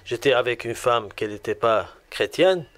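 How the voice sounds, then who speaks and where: A man from Lebanon with a Lebanese accent, giving a personal religious testimony in a halting way that often circles back on itself. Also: medium pitch, lively intonation, a bit bright.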